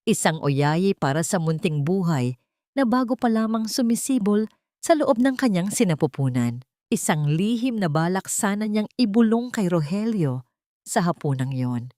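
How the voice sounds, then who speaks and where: A woman's voice: medium pitch, big pitch swings, moderate pace, mellow, dry recording, studio quality. A woman storyteller reading scripted, dramatic narration.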